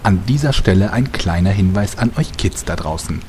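A man playing a mock-solemn, deadpan narrator of a parody fantasy radio play. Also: fairly low pitch, moderate pace, a little hiss or hum, lightly acted. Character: mock-serious narrator addressing the kids.

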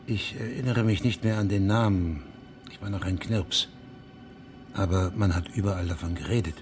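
An actor playing a dogged, formal middle-aged judge in a German radio drama, measured and unyielding. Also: male voice, sits low, music in the background, lightly acted.

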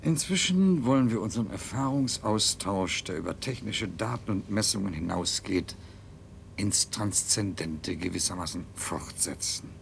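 A man's voice: expressive, moderate pace, vocal fry. A man playing a lofty, self-satisfied scientist in a 1970s radio play, with a stilted, pompous delivery. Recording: 1970s radio recording with low rumble.

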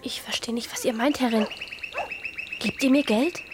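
Woman playing a high-voiced, cryptic street child. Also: moderate pace, background noise, lightly acted. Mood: cryptic, demanding. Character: local street child singing and begging for money. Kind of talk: radio drama acting.

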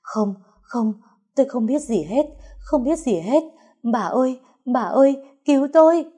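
A woman's voice: high-pitched, expressive, measured pace, dark tone, husky, voice pushed up, full character voice. A woman narrator voicing a terrified, guilty servant woman in an audio story, pleading and repeating herself frantically.

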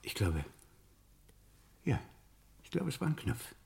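A man's voice: medium pitch, big pitch swings, takes it slowly, vocal fry, a little mellow, heavily acted. An actor playing an anxious, polite accused man in a German crime radio play, hesitant and searching for words. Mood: groping, agitated. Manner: hesitant, searching, repeating himself.

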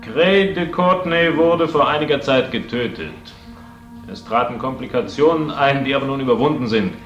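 A man's voice: mid-range voice, moderate pace, soft background music, natural voice. An actor playing a grandiose, domineering corporate magnate addressing his staff in a radio play, with a dull echoing sound. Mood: triumphant, pompous. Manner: pompous, forceful.

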